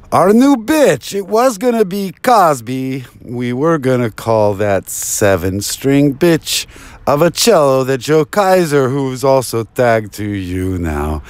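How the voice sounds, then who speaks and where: A man's voice: mid-range voice, no room sound. A man delivering a rambling, taunting monologue like a mock phone call, theatrically drawing out and repeating his words.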